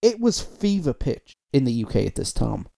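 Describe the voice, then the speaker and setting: A man's voice: medium pitch, sing-song, moderate pace, creaky, warm tone. A man hosting a music podcast in a rambling, self-deprecating, chatty way, his audio sounding compressed and dull. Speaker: podcast host. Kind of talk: casual podcast chat.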